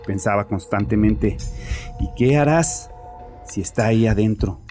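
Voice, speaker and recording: A man's voice: on the low side, measured pace, dark tone, lightly acted. An actor playing a bitter, troubled father confessing defensively and sardonically in a dramatized horror audiobook. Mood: uneasy. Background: music.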